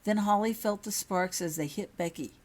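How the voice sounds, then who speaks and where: A woman narrating a story from a script, reading her own fiction aloud with a husky voice. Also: mid-range voice, moderate pace, a little mellow.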